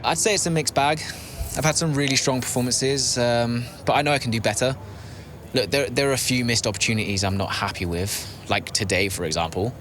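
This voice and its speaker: An actor playing a guarded, self-critical young racing driver, hesitant and measured, with faint vehicle noise behind. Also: male voice, mid-range voice, steady tone, a bit bright, natural voice. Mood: guarded, reflective. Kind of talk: naturalistic game acting of a media interview.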